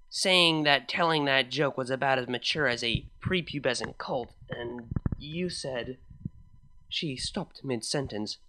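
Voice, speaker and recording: Expressive fan fiction narrator, middling pitch. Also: low-quality recording. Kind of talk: dramatic first-person story narration.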